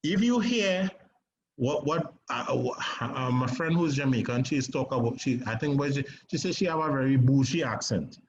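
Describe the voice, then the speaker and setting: A man with a Trinidadian accent teaching a stage acting class, lively and often asking "right?". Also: mid-range voice, moderate pace. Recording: slightly dull recording with faint noise.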